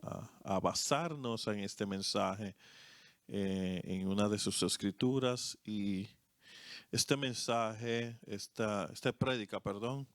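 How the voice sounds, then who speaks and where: A man with a Dominican accent, a co-pastor, preaching and praying in a church service with a slow, deliberate cadence. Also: fairly low pitch, fairly bright tone, no echo. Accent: Dominican Spanish. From Dominican Republic. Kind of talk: sermon and congregational prayer.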